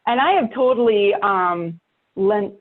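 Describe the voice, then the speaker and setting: A woman's voice: medium pitch, a little slow. A woman talking casually and emphatically as a podcast guest, in colloquial conversational style. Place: home workspace studio with sound panels. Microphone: microphone with preamp, over online call. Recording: thin phone-like online call audio.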